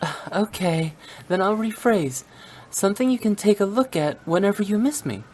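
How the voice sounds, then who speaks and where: A playful, teasing young funeral director; lively melodic delivery, soft music under. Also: female voice, medium pitch, normal speed, husky. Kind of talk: video game dialogue. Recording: game audio with faint music.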